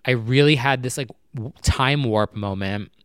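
A young man chatting casually at night, rambling with lots of fillers and talking low so his neighbors won't hear. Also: a little slow, smooth, no echo, clean studio sound. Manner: talking low and quietly to keep neighbors from hearing. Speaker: vlogger. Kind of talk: casual personal chat to camera. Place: apartment at night.

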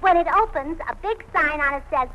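A woman's voice: sits high, animated, brisk, a bit bright, rough recording. A woman playing a cheerfully illogical, scatterbrained young wife in a 1940s radio comedy, talking in a chirpy, earnest way. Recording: old radio broadcast with hiss.

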